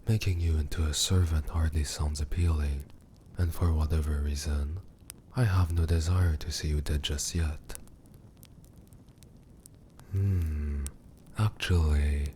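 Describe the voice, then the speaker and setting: Man voicing a very deep, possessive demon king. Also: steady tone, slight background noise. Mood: cold, probing. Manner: slow, deliberate, condescending, softly menacing. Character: cruel, possessive male demon king, coolly amused. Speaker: ASMR roleplay performer. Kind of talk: scripted ASMR character roleplay.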